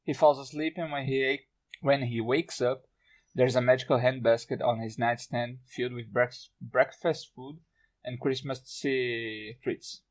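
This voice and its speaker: A man's voice: mid-range voice, fairly even intonation, unhurried. Man, mid-range voice, casual podcast talk, amateur writer recounting his own little story.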